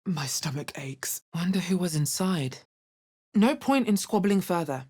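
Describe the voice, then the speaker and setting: A woman playing a wary, wry female adventurer in a fantasy video game, with a bassy, husky voice and a measured, understated delivery. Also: expressive, dark tone. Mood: wary, musing. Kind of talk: video game character voice lines.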